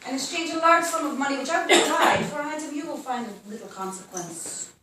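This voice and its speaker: An actress playing a mysterious, sultry client in a 1930s noir radio play, secretive and formal in a coy, measured way. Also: female voice, high voice, animated, bright, forceful tone. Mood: insistent, secretive. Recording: very echoey, distant, faint noise.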